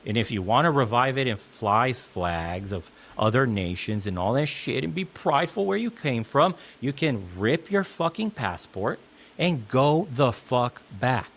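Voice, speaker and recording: A man's voice: mid-range voice, very animated, a little slow. A man in a clip being reacted to, ranting his opinions bluntly, emphatically and with constant swearing.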